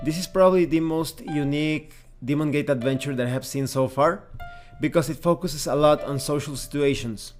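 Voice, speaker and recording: Man giving a measured review commentary. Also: mid-range voice. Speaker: tabletop game reviewer and channel host. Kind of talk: semi-scripted review commentary.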